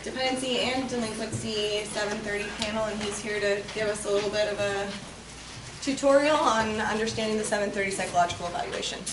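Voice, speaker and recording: A woman's voice: mid-range voice, fairly even intonation, fast talker. Woman introducing a speaker in a hall. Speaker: event host introducing the speaker. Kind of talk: brief spoken introduction. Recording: echoey room, distant mic, noisy.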